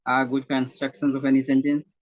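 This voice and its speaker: Halting, self-correcting male teacher. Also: medium pitch, completely flat delivery, no room sound. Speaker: primary school teacher, interview guest. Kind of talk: interview conversation. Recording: dull, dry lo-fi audio.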